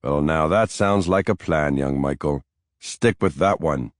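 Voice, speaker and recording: A man's voice: extremely low pitch, unhurried, no echo, clean studio sound. A man voicing an elderly grandfather who is chatty, lilting and mischievous. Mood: teasing. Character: elderly Irish grandfather, wry and teasing.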